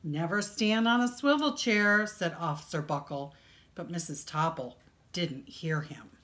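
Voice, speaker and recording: Slow-paced woman reading a children's story. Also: on the low side, a bit bright. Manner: deliberate, dramatic emphasis on key words. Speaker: children's librarian reading a picture book. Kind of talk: expressive read-aloud storytelling for children.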